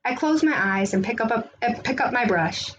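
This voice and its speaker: Lively high-pitched woman reading a storybook. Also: normal speed, a little hiss or hum, rough recording. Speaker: read-aloud storyteller. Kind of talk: expressive children's picture book reading.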